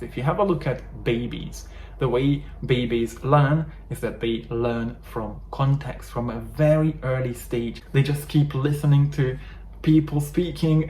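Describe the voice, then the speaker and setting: A man's voice: mid-range voice, a little mellow. A man with a Hungarian accent, a native Hungarian speaker, explaining English learning to viewers in an earnest coaching style. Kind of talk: talking-head teaching video. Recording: echoey room, faint background noise.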